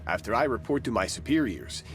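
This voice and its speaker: A man's voice: medium pitch, big pitch swings, a little fast, fairly bright tone, soft background music. An actor playing a polite but unyielding young by-the-book policeman in a video game cutscene. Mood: unyielding, dry.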